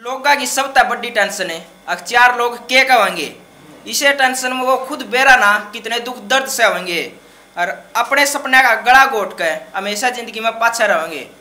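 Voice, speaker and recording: Male open-mic poet, twenties, Haryanvi accent. High-pitched, intensely bright and forceful, dramatic verse delivery. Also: normal speed. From Haryana, India. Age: 20s. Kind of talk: shayari poetry recital. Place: small dark indoor open-mic stage. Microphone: microphone on stand close in front.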